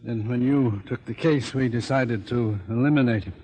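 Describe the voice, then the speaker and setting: Man acting weak, dying scheming professor, halting. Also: medium pitch, a little mellow, lightly acted. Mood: weakly confessing. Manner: halting, labored confession. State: gravely wounded, weak, coughing. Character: dying scheming male professor, a hypnotist confessing murder. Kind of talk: 1950s radio drama acting. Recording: noisy old radio recording with clicks.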